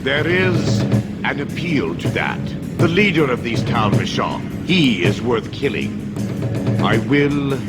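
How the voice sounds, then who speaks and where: An actor playing a grave, proud horned Qunari warrior in a video game, speaking formally and deliberately. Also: male voice, medium pitch, sing-song. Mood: solemn, resolute. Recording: loud game music over the voice. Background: loud video game music.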